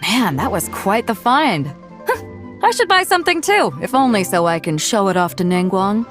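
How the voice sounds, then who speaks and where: Casting: actress for breezy female seafarer, confident and informal, medium pitch, animated delivery, video game role. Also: moderate pace, soft background music, natural voice. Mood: amused. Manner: casual, hearty, plain-spoken. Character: breezy, confident, plain-spoken female ship captain. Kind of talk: scripted video game dialogue.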